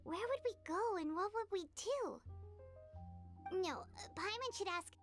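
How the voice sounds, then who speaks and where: Tiny chirpy fairy sidekick, squeaky very high childlike voice, lively swings, anime game, music underneath. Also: female voice, measured pace. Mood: thoughtful. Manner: refers to self in third person, squeaky. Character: small chirpy childlike female fairy companion, earnest and curious.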